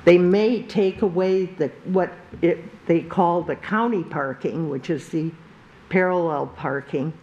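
An older woman with a big, carrying voice, speaking slowly and haltingly at a podium microphone during public comment in a council chamber. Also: on the low side, a little mellow, lo-fi sound. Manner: slow, halting, with many pauses and restarts. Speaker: resident giving public comment. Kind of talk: public comment at a zoning meeting.

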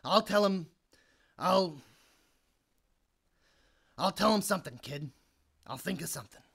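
A man's voice: high-pitched, gravelly, fairly bright tone, heavily acted. A man playing a fretful, hot-tempered uncle who manages a young boxer, scolding in working-class street slang in a crime drama. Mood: weary, resigned. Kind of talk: period crime drama acting.

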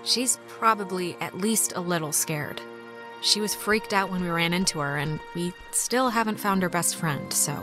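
A woman's voice: on the low side, moderate pace, close to an everyday voice. A young American woman playing a wry, caring, level-headed teenage girl in a video game. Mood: explaining. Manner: wry, conversational. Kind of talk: naturalistic video game dialogue. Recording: music behind the voice.

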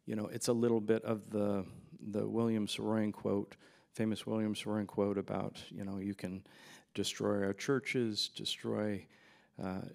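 A man's voice: on the low side, creaky. A man in his forties, a filmmaker guest at a festival Q&A, telling rambling anecdotes into a handheld mic. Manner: relaxed, rambling anecdotes with fillers and laughs. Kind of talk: festival Q&A storytelling. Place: festival stage in front of a backdrop.

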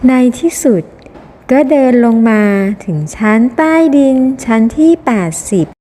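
A high-pitched woman tells a children's story at a slow pace. She keeps her phrasing simple for very young listeners, and the recording carries a long hall-like reverb. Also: expressive, warm tone. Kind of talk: scripted children's storybook narration.

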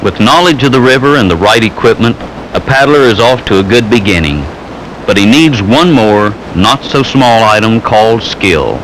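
A man reading scripted narration for a training film in a measured, earnest way. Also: fairly low pitch, expressive, a bit bright. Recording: noisy old 16mm film soundtrack.

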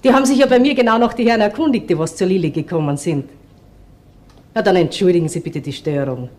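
A woman's voice: on the low side, fast talker, a little mellow, close to an everyday voice. A woman playing a chatty, nosy working-class landlady who gossips in colloquial Viennese dialect in a radio crime play. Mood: gossipy. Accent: Austrian, Viennese.

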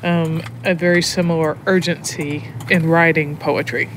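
A woman talks candidly and reflectively, thoughtful and deliberate, pausing as she goes. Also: low-pitched, fairly even intonation. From Alabama, United States. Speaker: prison poetry teacher and program head. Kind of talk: candid, reflective interview talk while driving. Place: inside a moving car. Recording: clear vehicle and road noise.

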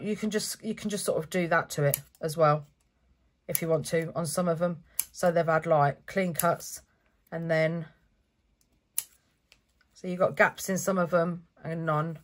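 Chatty woman, stuffy from a cold. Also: on the low side. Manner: chatty, self-correcting step-by-step explaining. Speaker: craft channel host. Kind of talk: relaxed craft tutorial narration. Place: home craft desk, indoors.

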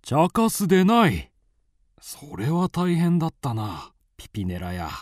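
An actor playing a kindly, learned doctor with an old-fashioned, composed manner in a radio drama. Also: male voice, a little high, wildly swooping pitch, measured pace, no room sound, studio quality. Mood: chiding. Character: kindly, learned male doctor recording an animal's biography. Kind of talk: Japanese radio drama acting.

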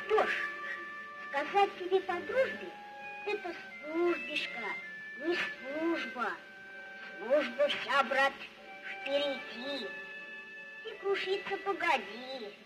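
A woman voicing a small, wise, loyal magical horse giving measured counsel in cartoon verse. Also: high-pitched, expressive, low-quality recording. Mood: calm advising. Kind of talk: vintage cartoon verse acting. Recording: old film soundtrack with music.

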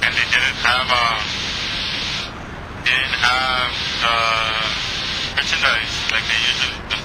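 A man's voice: mid-range voice, little pitch movement, slow. A man bantering casually as a passenger in a small plane, joking and a bit sweary. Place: small airplane cockpit in flight. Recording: loud aircraft engine noise, headset radio audio.

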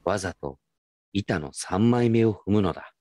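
A man's voice: fairly low pitch, animated, measured pace, a little mellow, soft background music. A man giving scripted first-person narration for a radio drama.

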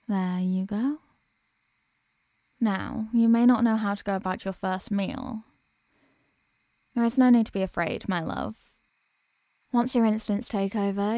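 A tender, ancient vampire girlfriend voiced by a woman in an ASMR roleplay. Her voice is mellow, soft and mid-pitched, with formal, caressing phrasing. She is soothing and protective, recorded close and dry. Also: no room sound, natural voice. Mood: gentle, coaxing. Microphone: close microphone.

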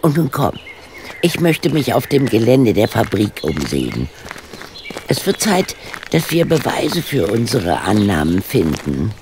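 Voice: mid-range voice, wildly swooping pitch, unhurried, noisy background. A performer playing a dignified, stubborn amateur sleuth in a German radio play, speaking formally and tartly. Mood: determined, curious. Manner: formal, haughty, precise.

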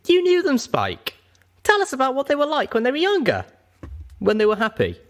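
A man voicing an eager, mischievous young female pony adventurer in an audiobook, with excitable exclaiming delivery. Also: very high voice, normal speed, voice pushed up, exaggerated voice. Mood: earnest pleading.

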